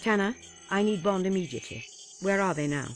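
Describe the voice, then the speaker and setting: Woman acting a female spy chief, brisk, commanding, lively swooping pitch, loud dramatic score behind, game drama. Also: faint music behind, natural voice. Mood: stern, brisk.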